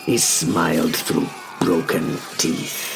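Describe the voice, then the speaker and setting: Deep, slow, gravelly male storyteller. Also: completely flat delivery. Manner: deliberate, pausing between short phrases. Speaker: narrator. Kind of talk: dramatic first-person scripted narration. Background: music.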